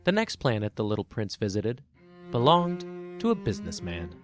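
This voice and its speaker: A man's voice: fairly low pitch, sing-song, normal speed. A man reading scripted storybook narration as the narrator of a children's tale. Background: plucked guitar music.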